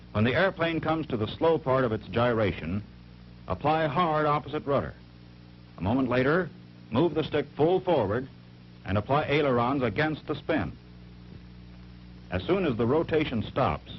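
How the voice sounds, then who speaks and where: A man playing an army flight instructor in a 1940s training film, explaining procedures step by step in a measured, authoritative way. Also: mid-range voice, close to an everyday voice. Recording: dull 1940s film soundtrack with hum.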